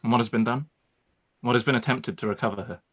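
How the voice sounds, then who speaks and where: A man acting a reserved, concerned gentleman in a period radio play, speaking formally and earnestly. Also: medium pitch, fast, lightly acted. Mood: grieved, shocked.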